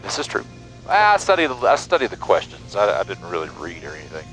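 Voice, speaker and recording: A man's voice: low voice, huge pitch swings, brisk, fairly bright tone, rough recording. A man speaking as a pilot on a headset in a small plane, switching between joking casual chat and clipped radio calls. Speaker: pilot and vlog host. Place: small twin-engine airplane cockpit in flight. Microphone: aviation headset. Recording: engine drone and music behind.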